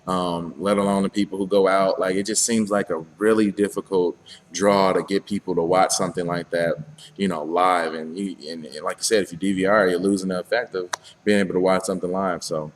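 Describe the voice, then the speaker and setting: A man's voice: low-pitched, little pitch movement, brisk, slight background noise. A man co-hosting a casual fight-sports talk show, agreeable and full of "you know" fillers. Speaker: podcast co-host.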